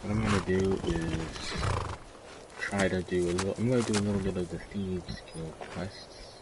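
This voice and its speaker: A man's voice: low voice, steady tone, normal speed, heavy background noise. A man giving casual gameplay commentary as he plays. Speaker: gaming video creator.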